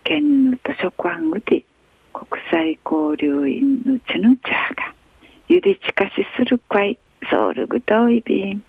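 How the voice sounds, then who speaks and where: A woman radio host reading a newspaper article aloud in a slow, measured way, with an Okinawan accent. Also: on the high side, very animated, vocal fry, breathy edge, dry recording. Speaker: radio news reader. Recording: tinny phone-like radio sound.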